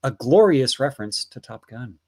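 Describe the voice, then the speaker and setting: Lively-voiced man hosting a livestream. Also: mid-range voice, normal speed. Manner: chatty, swears casually. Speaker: livestream host reading donations. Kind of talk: casual livestream chat.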